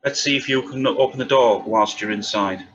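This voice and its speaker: A man at a table read playing a wry, suspicious conspiracy theorist with a dry, deadpan delivery. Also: medium pitch, normal speed, close to an everyday voice. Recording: dull, lo-fi, faint background noise.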